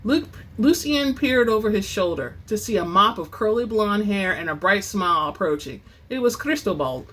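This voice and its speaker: Expressive dramatic book narrator. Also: mid-range voice. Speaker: audiobook-style reader and channel host. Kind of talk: casual chatty sign-off to listeners.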